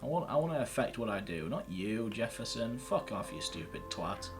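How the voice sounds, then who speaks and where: A man's voice: lowish voice, very animated, moderate pace, loud music behind the voice. A man giving casual let's play commentary, muttering sweary reactions as he plays. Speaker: gaming video host.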